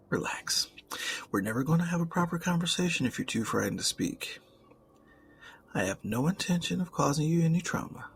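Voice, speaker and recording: A man voicing a suave, mocking, predatory demon in an ASMR roleplay, speaking formally and eloquently and often dropping into a breathy whisper. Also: mid-range voice, fairly bright tone, a little hiss or hum. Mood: taunting, menacing.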